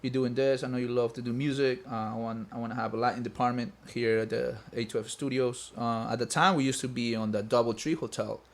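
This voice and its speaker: Animated man from Peru. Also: medium pitch, fast talker, few pauses. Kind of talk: casual podcast chat.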